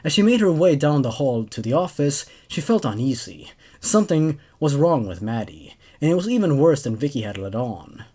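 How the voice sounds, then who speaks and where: A man's voice: fairly high pitch, very animated, normal speed, few pauses, a little mellow. A man in his twenties hosting and narrating horror stories, recorded indoors on a desk microphone. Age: 20s. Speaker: host narrator and reviewer. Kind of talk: reading horror stories aloud with commentary. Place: small dark room indoors. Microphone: desk microphone on a boom arm.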